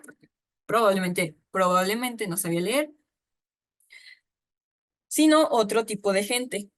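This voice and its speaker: A woman's voice: on the high side, a bit bright. A woman giving an informal lecture on storytelling, chatty and full of asides and fillers. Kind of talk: informal online talk on storytelling.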